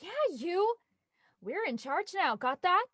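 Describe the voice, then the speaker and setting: A woman's voice: very high voice, big pitch swings, takes it slowly, a bit bright, no room sound, studio quality, heavily acted. A woman playing an anxious twenty-four-year-old writer finding her nerve, in a naturalistic, hesitant audio drama performance. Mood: urgent, forceful. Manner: hesitant, earnest.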